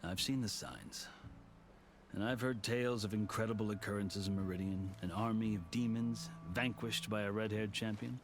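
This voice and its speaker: Guy playing a proud old-soldier type gone diplomat, low voice, formal n courteous, game cutscene w music. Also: lightly acted. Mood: earnest, measured. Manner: formal, measured, eloquent oratory. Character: proud, courteous veteran warrior turned diplomat. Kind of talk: dramatic video game cutscene acting.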